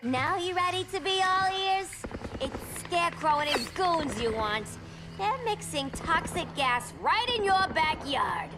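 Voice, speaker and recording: A woman's voice: very high voice, animated, a little slow, noisy background, full character voice. An actress playing a brash, cheeky young woman, sassy and defiant. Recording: voice over loud music.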